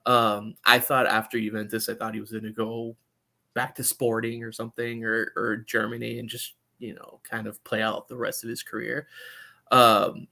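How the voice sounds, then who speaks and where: A man discussing soccer casually as a podcast pundit, halting and often repeating words as he qualifies his points. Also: medium pitch, creaky, sharp tone.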